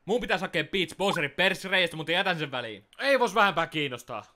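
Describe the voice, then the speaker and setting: Man playing sneering, lively cartoon teenage big brother. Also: high-pitched. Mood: irritated. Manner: sarcastic, swearing slangy drawl. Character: bullying, foul-mouthed teenage older brother, sneering video-game plumber. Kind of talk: Finnish fan-dub cartoon parody.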